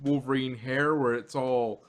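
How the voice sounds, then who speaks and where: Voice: medium pitch, steady tone, a little slow. A podcast co-host chatting casually and jokingly as a fan.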